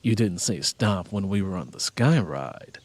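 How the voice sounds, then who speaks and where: Man playing a teasing, amorous husband, animated. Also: low-pitched, a little slow, breathy edge, voice pushed down, full character voice. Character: adult husband, amorous, teasing, content, wary of change. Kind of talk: audiobook character dialogue.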